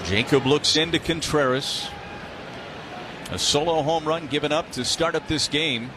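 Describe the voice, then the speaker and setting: Male baseball announcer over clear background noise. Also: fairly high pitch, a little slow. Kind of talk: live radio sports commentary. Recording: steady ballpark noise behind voice. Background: outdoor stadium ambience.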